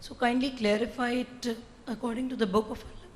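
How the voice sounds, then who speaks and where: A woman's voice: fairly high pitch, steady tone, quick pace, a little mellow. A woman from the audience asking a formal, polite question. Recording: reverberant hall.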